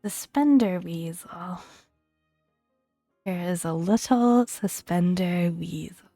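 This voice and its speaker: A woman speaking softly and breathily in a gentle, playful ASMR style, often dropping into a whisper as she reads and comments. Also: lowish voice, takes it slowly, dry recording, studio quality, faint music behind. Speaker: gaming video host. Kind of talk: soft-spoken ASMR, reading creature entries with casual commentary.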